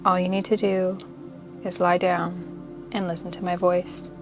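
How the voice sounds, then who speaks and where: A woman leading a guided meditation in a soothing, measured, unhurried manner, pausing between short phrases of instruction. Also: fairly low pitch, little pitch movement. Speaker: yoga nidra meditation guide. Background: meditation music.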